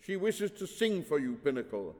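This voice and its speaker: A man's voice: mid-range voice, big pitch swings, slow, a little mellow, long reverb tail, voice pushed up. A man voicing a scheming donkey driver and father in a storybook, wheedling and obsequious.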